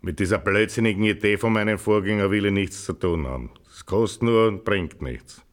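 An actor playing a gruff, stingy small-town mayor who speaks curtly and with condescension. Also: male voice, lowish voice, brisk, lightly acted. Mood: dismissive, irritated. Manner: curt, condescending. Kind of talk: naturalistic film acting.

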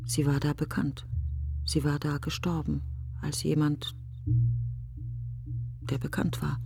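An actress playing a grieving woman in a literary radio monologue, speaking in hushed, halting fragments. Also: low voice, flat delivery, creaky, over music, exaggerated voice. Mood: hushed, bitter. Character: grieving woman brooding on her dead best friend.

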